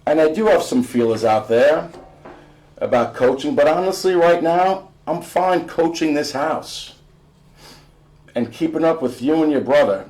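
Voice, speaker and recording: A man's voice: on the high side, lively intonation, unhurried, a little mellow, pitched above the performer's own voice, rough recording. Male reader as boastful ex-athlete father, affectionate and emphatic, storybook banter. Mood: reassuring, firm. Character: proud, teasing, loving father and former basketball star.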